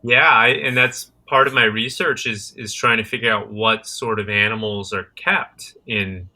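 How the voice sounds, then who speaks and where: A man's voice: on the low side, steady tone, measured pace, sharp tone. An American man answering interview questions as a guest, often repeating words and restarting while he finds his phrasing. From United States. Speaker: guest, criminology researcher and conservationist.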